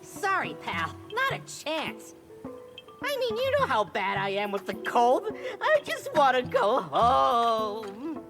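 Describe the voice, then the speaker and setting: A little cowardly forest critter from a video game, grumbles and whines in a high voice. The delivery is excitable and stammering, leaping all over in pitch, with music playing underneath. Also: female voice, takes it slowly, exaggerated voice. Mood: stubborn, whiny. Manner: excitable, stammering, dramatic whining. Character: small whiny male forest creature, cowardly, grumbling, cheeky.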